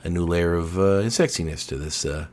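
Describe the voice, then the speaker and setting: A man hosting a podcast in a relaxed, rambling chat, his baritone voice a little hoarse. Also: moderate pace. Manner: laid-back, filler-heavy rambling. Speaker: podcast host.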